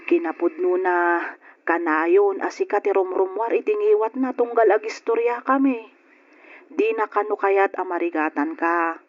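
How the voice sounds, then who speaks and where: A woman playing a consoling, pious middle-aged aunt in an old radio drama, earnest and gently admonishing. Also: mid-range voice, normal speed, close to an everyday voice. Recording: dull lo-fi radio drama with music.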